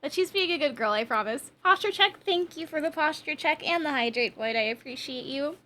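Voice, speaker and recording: A woman's voice: high-pitched, expressive, brisk, sharp tone. A woman in her twenties chatting casually with viewers on a game livestream, with a chatty, bubbly, giggly manner. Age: 20s. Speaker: game streamer.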